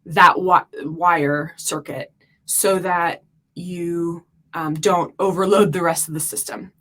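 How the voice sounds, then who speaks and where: A woman from Turkey, a podcast guest, explaining at length in an earnest way with many fillers. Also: fairly low pitch. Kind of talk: explanatory interview talk.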